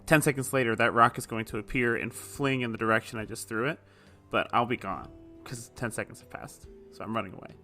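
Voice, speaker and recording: A man's voice: mid-range voice, steady tone, brisk, pushed and bright, soft background music. A man speaking casually as a player in a tabletop role-playing game. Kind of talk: casual chat in actual play.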